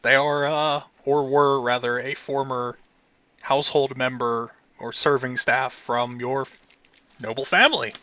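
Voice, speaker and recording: A man runs a tabletop game as game master, narrating in an easygoing manner full of "uh"s. His voice is bright and forceful. The recording sounds dull and lo-fi. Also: medium pitch, a little slow. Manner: relaxed, laid-back narration with frequent fillers.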